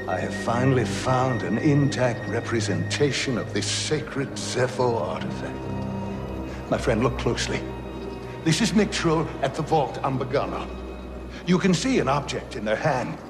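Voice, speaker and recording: Man acting earnest scholar over loud music. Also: mid-range voice, lightly acted. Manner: measured, explanatory, thoughtful. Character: studious, earnest male Jedi scholar and mentor. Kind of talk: recorded-message video game acting.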